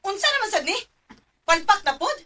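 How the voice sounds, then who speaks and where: Harsh, scolding female boss, extremely high voice, Bisaya accent, radio drama, distant miking. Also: big pitch swings, normal speed, bright, forceful tone, heavily acted. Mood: scornful. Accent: Filipino, Bisaya.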